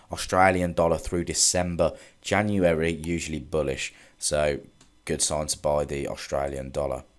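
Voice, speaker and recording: Man with a stuffy head cold, low voice, loose chart recap. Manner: relaxed, hesitant, many ums and restarts. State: congested from a cold. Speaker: forex trading analyst. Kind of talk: casual market recap with charts.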